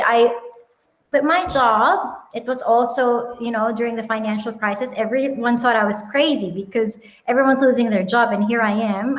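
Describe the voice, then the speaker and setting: A woman in her thirties with a Filipino accent, telling her founder story in an animated, upbeat way with frequent ums and laughs, on a video call. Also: on the high side, echoey room, low-quality recording. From Philippines. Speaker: guest, company founder. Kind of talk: casual interview chat. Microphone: webinar video call.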